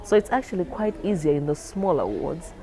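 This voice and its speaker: A woman's voice: fairly low pitch, very animated, brisk, a crowd in the background. A woman clinician speaking conversationally as she explains things for a training film.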